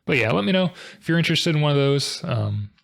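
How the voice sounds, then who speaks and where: A man hosting a coding tutorial, talking through his code in a low-key, monotone way, thinking aloud with fillers. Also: quick pace, gravelly. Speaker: programming tutorial host. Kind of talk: casual screen-recorded coding walkthrough.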